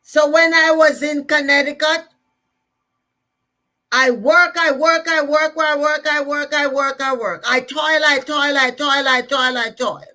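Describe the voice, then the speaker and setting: A woman's voice: high voice, fairly even intonation, measured pace, bright, forceful tone. A woman with a Jamaican accent giving an emphatic, repetitive motivational talk to listeners. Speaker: motivational speaker.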